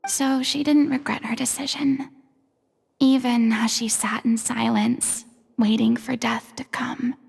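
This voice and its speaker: A woman's voice: a little high, flat delivery, measured pace, gravelly, a little mellow, husky, heavily acted. A woman voicing a confident, earnest young mentor of performers in a video game, with grand, theatrical phrasing. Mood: tender, subdued. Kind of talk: dramatic video game voice acting.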